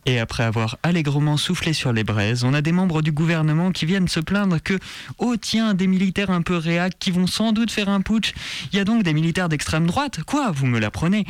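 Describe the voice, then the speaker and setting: A man's voice: a little high, brisk. A man reads scripted news commentary on community radio in a sarcastic, militant manner. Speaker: radio show presenter.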